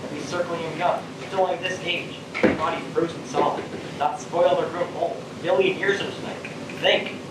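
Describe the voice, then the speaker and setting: A young male actor as a morbid, fascinated young spaceman with a fairly high voice and stagey delivery. He is far from the mic in a very echoey room. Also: steady tone, normal speed, close to an everyday voice. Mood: morbid wonder. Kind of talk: theatrical stage acting. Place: stage of a school theater. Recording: echoey stage, distant mic, loud rumbling noise.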